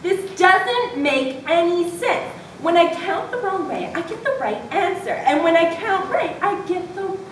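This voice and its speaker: A woman playing a stubborn, literal-minded schoolboy in an amateur readers' theatre performance, pleading and protesting. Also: sing-song. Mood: baffled protest. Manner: protesting, counts aloud slowly. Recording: distant, very echoey room, noisy with rumble.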